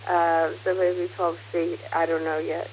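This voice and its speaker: A woman's voice: fairly low pitch, flat delivery, measured pace. A woman with an American accent chatting casually over amateur radio, rambling hesitantly as she thinks aloud. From United States. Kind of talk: casual radio contact chat. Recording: muffled, hissy ham radio transmission. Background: radio hiss.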